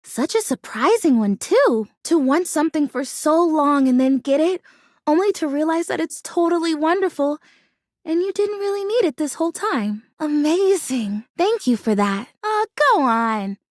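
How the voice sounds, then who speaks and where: A woman voicing a sweet, plucky, excitable talking houseplant in a video game, with earnest, gushing delivery and quaint folksy exclamations. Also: sits high, normal speed, no room sound, studio quality. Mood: tender, content. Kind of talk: video game character acting.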